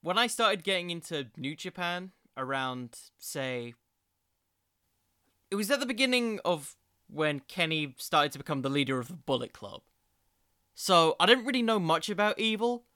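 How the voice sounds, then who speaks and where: A man's voice: on the high side, lively intonation, moderate pace, pushed and bright, clean tone, no echo, studio quality. A young man hosting an informal solo podcast, rambling along in a jokey, self-deprecating way. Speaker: podcast host.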